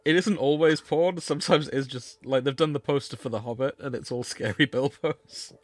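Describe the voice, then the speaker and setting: A man's voice: mid-range voice, a little fast, dry recording. A man hosting a comedy podcast, chatting in a jokey, sweary way. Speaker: podcast host. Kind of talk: bantering comedy podcast chat.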